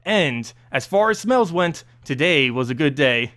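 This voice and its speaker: High-pitched, animated male story reader. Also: measured pace. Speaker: host reading game lore story aloud. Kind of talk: narrated story reading.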